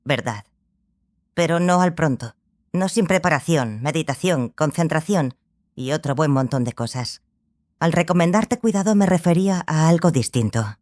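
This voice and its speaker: A composed female mentor sorceress, acted by a woman in a fantasy audiobook. Her voice is low and close, without room sound. She gives instruction with measured authority. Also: animated, a little mellow, dry recording. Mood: dry, cautioning.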